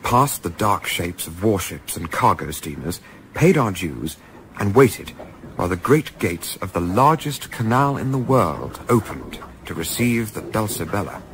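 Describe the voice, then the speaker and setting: A man playing a reflective English gentleman narrator of a sailing adventure in a radio play, with measured, literary, wry phrasing. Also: low voice, big pitch swings, a little hiss or hum, full character voice, low-quality recording.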